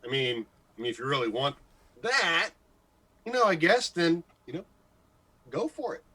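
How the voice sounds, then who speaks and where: A man playing an excitable, jealous, insecure morning radio host in a radio comedy-drama, stammering, gushing and evasive. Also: a little high, normal speed, husky, full character voice. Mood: reluctant.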